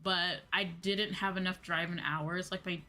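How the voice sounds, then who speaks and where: A woman's voice: fairly low pitch, steady tone, unhurried, bright, forceful tone. An American woman chatting casually on a livestream in an informal, slangy, rambling way. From United States. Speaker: VTuber streamer, host. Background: background music.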